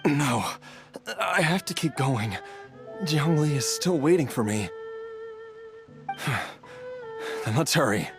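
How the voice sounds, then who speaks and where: A man's voice: fairly high pitch, animated, takes it slowly, pauses often. An actor playing a weary, ailing, doggedly determined man in a game, with a strained, dramatic delivery. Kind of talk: scripted game voice acting. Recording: studio voice over game music.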